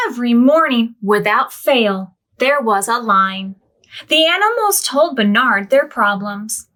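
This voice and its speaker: A woman reads a picture book to preschoolers at a gentle, unhurried pace. Her voice sits high and swoops up and down as she coaxes the children along. It is the warm sing-song of library storytime.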